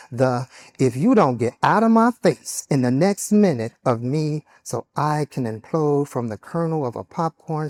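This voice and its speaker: A man reading his own poems aloud at a literary panel, slow and deliberate in his delivery. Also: on the high side, a little mellow. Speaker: poet. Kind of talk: poetry reading.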